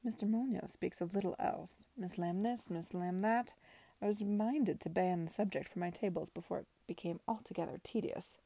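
A woman voicing a male gambling-house owner in a period story, a low-born villain with affected, genteel politeness. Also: moderate pace, warm tone. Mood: sneering. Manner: affected politeness, making a show of affront.

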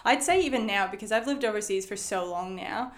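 A woman's voice: medium pitch, brisk. A young woman with an American accent, chatting casually and rambling with plenty of fillers and restarts. Her native language is Swedish. She is recorded in an echoey room. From Sweden and the United States. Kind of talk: casual chat.

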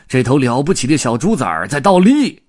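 A man voicing excited, exclaiming circus folk who marvel at a novelty in a children's story. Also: high voice, moderate pace, voice pushed up, exaggerated voice.

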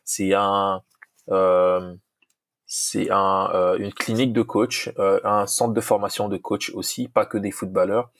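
Low-voiced Congolese coach, dry sound. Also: male voice, little pitch movement, slow pace, a bit bright, dry recording. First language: Lingala. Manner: earnest, repeats himself, many restarts and fillers. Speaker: football coach, guest. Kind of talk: casual podcast interview.

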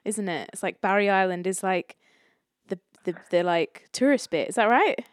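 A woman's voice: mid-range voice, moderate pace, no room sound, studio quality. A woman hosting a casual phone chat, friendly and enthusiastic, giggling now and then. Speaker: podcast host.

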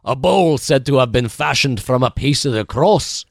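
An actor with a Scottish accent playing a gruff, crafty, boastful chapel keeper in a 1940s-style radio play. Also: male voice, mid-range voice, lively intonation, normal speed, no echo, clean studio sound. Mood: animated storytelling.

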